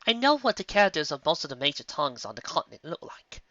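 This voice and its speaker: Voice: medium pitch, big pitch swings, fast, bright, forceful tone, no echo, dull audio, voice pushed up. A performer voicing a prim, serious, educated female pony musician who speaks formally and precisely in a story reading. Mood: matter-of-fact.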